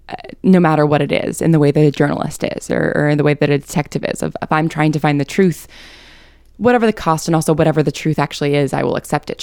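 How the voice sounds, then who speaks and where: A woman's voice: low-pitched, a little mellow, smooth, no room sound, studio quality. A woman giving thoughtful, analytical answers as a writer being interviewed, explaining her ideas articulately.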